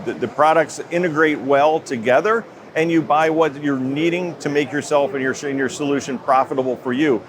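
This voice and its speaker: A middle-aged American man answering interview questions as a company executive in measured corporate talk. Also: on the high side. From United States. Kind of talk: trade show interview. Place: trade show floor. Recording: noisy show-floor ambience.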